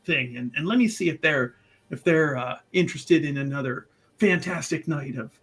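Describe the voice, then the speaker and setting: A man voicing a roguish, thrill-seeking occultist in a tabletop game, rambling, excitable and conspiratorial. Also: on the high side, moderate pace, husky. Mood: excited, reckless.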